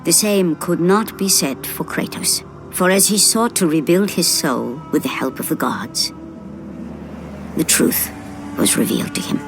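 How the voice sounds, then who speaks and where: Grave epic storyteller. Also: female voice, on the low side, a little slow. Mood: solemn. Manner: solemn, portentous storytelling. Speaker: narrator. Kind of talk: scripted dramatic narration for a fantasy video game. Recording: music behind the narration.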